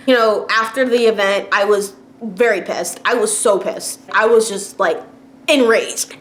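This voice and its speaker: A woman's voice: mid-range voice, slight background noise. A woman vlogging a casual rant to camera, talking loosely with many fillers and little laughs, and growing exasperated as she goes on. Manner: chatty, frequent fillers and laughs, rising exasperation.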